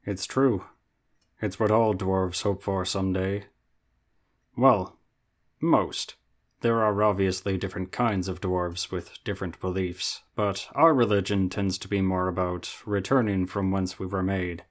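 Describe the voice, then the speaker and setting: A man's voice: deep voice, steady tone, a little slow. A man voicing a hearty, earnest male dwarf. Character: deep-voiced hearty male dwarf, friendly.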